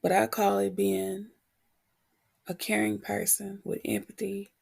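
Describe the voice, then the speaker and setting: A woman podcast host talking reflectively and candidly on her own, her voice husky and prone to cracking as she gets over a cold. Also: mid-range voice, level tone, a little mellow. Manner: candid, unhurried, pausing between short phrases. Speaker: podcast host and motivational coach. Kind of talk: reflective solo podcast talk.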